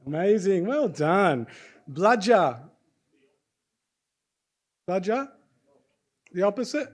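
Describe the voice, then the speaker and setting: A man from Australia with an Australian accent, hosting a university presentation session in a chatty way, often checking in with the audience and quizzing them. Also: sits high. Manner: chatty, keeps checking in with "right?", quizzes the crowd. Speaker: host of a student presentation event. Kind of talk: lively event hosting before an audience. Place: university lecture hall.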